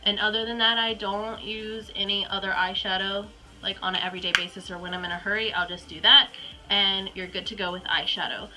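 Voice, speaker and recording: A woman's voice: medium pitch, little pitch movement, fairly quick, over music, low-quality recording. A young woman with a Southern US accent and a stuffy, congested voice from a cold, chatting casually through a makeup tutorial at home with lots of ums and likes. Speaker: beauty vlogger.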